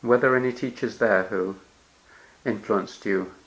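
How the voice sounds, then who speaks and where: Gently prompting male interviewer. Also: lowish voice, unhurried, poor audio. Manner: gentle prompting with murmured "hmm" and soft laughs. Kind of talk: interview questioning.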